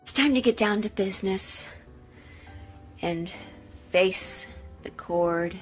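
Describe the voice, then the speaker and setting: A woman's voice: medium pitch, slow, breathy edge, soft background music. A woman chatting casually in a sewing vlog, thinking aloud in a candid, rambling way. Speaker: sewing vlogger.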